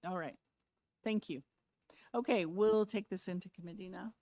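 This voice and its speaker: A woman chairing a public planning hearing, brisk and procedural, losing her voice. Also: mid-range voice, dark tone, dry recording. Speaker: committee chair.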